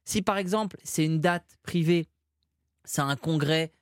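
A man's voice: a little high, unhurried, clear, resonant tone, dry recording, studio quality. A man, a comedian and filmmaker, telling anecdotes in a casual interview. He talks animatedly, with frequent restarts and acted-out quotes. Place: studio with glass screens.

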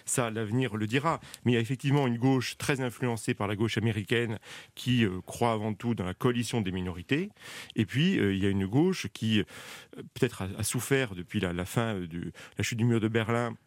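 Male interview guest, lowish and quick, analytical with halting repeats, dry studio. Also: no echo. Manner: hesitant starts, repeats words while explaining. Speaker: studio guest, TV channel executive. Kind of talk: analytical live interview answers. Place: radio studio.

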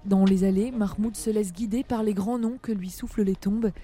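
A woman reporter reads a polished, literary script in a measured way. Her voice is mellow and warm, pitched in the usual range for a woman. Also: steady tone, faint music behind. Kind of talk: scripted narration.

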